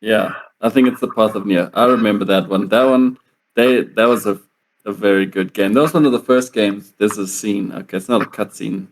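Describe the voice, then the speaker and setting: Man, slightly low voice, casual conversational delivery, podcast co-host. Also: a little fast, poor audio. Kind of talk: casual chat about video games.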